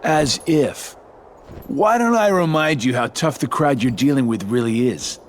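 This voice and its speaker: A man's voice: mid-range voice, animated, measured pace, a little hiss or hum, natural voice. Man acting a smug, drawling, swooping villain. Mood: smug, mocking. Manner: mocking, drawling, casual. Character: smug, teasing villain in a shadowy organization. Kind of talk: video game cutscene acting.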